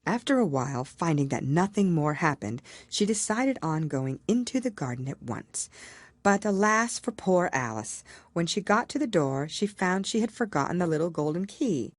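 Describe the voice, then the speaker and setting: A woman narrates a storybook in a low voice. Her delivery is expressive and measured, with very lively melody, and the sound is dry and close. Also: no echo. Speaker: audiobook reader.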